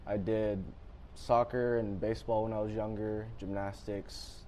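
A man's voice: fairly low pitch, level tone, a little slow, a little mellow, slight background noise. A teenage boy born in Japan, answering interview questions politely and often saying "yes, sir". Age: teens. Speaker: student athlete guest.

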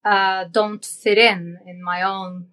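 A young adult woman from Norway with a Norwegian accent, a podcast guest talking in a casual interview and often pausing on ums and uhs as she searches for words. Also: medium pitch, level tone, sharp tone.

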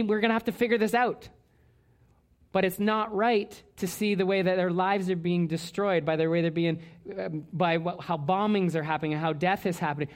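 A man from Canada, a pastor preaching a sermon in church, earnest, often restarting and repeating words. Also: high-pitched.

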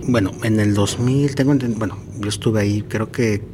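A man's voice: on the low side, steady tone, moderate pace, warm tone, slight background noise. A man hosting a podcast interview, speaking casually with many fillers and hesitations. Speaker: podcast host. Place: outdoors at a marathon event.

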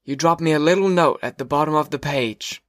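Man playing a sarcastic old teacher, raised slow lecturing voice, dry sound. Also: on the high side, no room sound, pitched above the performer's own voice, close to an everyday voice.

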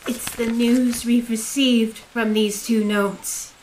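A woman playing a dutiful, grave aide to a ruler in an audio drama, measured, formal and deferential. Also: fairly high pitch, flat delivery, darkish tone, slight background noise, rough recording. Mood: somber.

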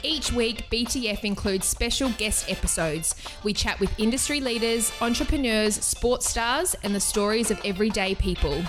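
A woman reading a scripted podcast promo with a bright, lilting delivery. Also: a little high, moderate pace. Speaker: announcer. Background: music.

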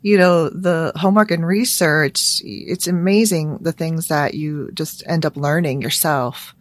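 A woman's voice: sits low, measured pace, no echo. A woman with an American accent hosting a podcast in a casual, conversational style. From suburban Chicago, United States. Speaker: podcast host. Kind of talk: casual interview chat.

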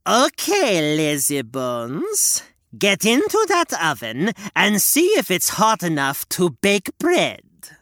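A wicked old witch, as a storyteller puts her on, her voice sliding wildly up and down in slow, drawn-out screeches. The sound is close and dry, with no room around it. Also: mid-range voice, wildly swooping pitch, fairly bright tone, no echo, full character voice. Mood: bossy. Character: wicked old female witch, greedy and cruel.

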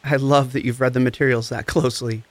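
A man's voice: mid-range voice, little pitch movement, fast, close to an everyday voice. A man acting the part of a compassionate recovery coach in an audio drama, tender, soothing and earnest. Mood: encouraging, instructive. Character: compassionate, gentle, encouraging recovery coach and friend.

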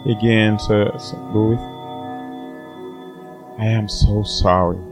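Man acting grave male doctor, slow, formal, apologetic, audio drama, faint music behind. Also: on the low side, little pitch movement. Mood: somber, apologetic.

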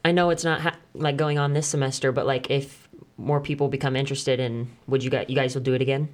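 Woman, low pitch; casual student interviewer, laughs easily. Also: steady tone, quick pace. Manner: casual, laughing, many "like" fillers.

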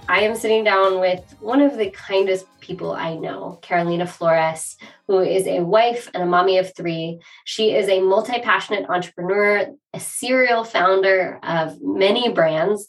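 A woman's voice: on the low side, moderate pace, far from the mic, faint music behind, rough recording. A woman hosting a podcast, introducing her guest in an upbeat, effusive way. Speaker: podcast host.

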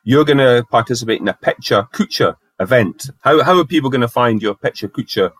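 A man hosting a podcast interview, asking questions in a brisk, affirming way. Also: mid-range voice, expressive, fairly bright tone, breathy edge, no echo. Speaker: podcast host.

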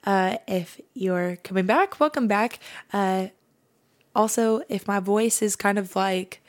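Young woman, raspy hoarse voice, podcast host, rambling candid chat, mid pitch. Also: slow. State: hoarse from screaming and late nights. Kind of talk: casual faith podcast monologue.

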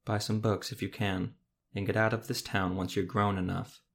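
A man voicing a weary, gruff monster hunter who speaks low and gently. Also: little pitch movement, normal speed. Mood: gentle.

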